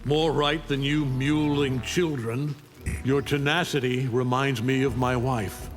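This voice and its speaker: Man playing haughty alien conqueror, middle-aged, measured taunting delivery, video game fight scene, loud music behind. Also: mid-range voice, steady tone, lightly acted. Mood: cold menace. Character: arrogant superhuman alien warlord, stern, contemptuous middle-aged man.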